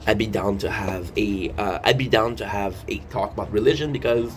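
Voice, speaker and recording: A man's voice: on the low side, fairly quick. A man in his thirties hosting a casual, rambling vlog, reading and commenting with jokey asides and breaking into his own sentences. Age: 30s. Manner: jokey, self-interrupting, playful asides. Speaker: video host. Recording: clear background noise.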